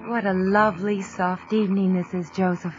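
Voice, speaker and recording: A woman playing a sweet, spirited young sweetheart of the early 1800s in an audio drama, gentle and affectionate. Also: mid-range voice, flat delivery, normal speed, lo-fi sound, soft background music, lightly acted. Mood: tender. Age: young adult.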